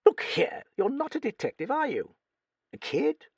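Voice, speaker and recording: A man's voice: high voice, wildly swooping pitch, moderate pace, darkish tone, slightly rough, dry recording, pitched above the performer's own voice, exaggerated voice. A man reading an audiobook, voicing a blunt, hearty old woman who swears freely and talks with cheeky bluster. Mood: bluff, gossipy. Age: older.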